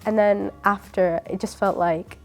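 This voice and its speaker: A woman's voice: medium pitch, fairly even intonation, unhurried. A woman from the UK who studies dentistry, chatting through her interview answers with plenty of fillers. Her voice sits in a middle register with little melody. Faint music plays underneath. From United Kingdom. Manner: chatty, uses many fillers. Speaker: dentistry student.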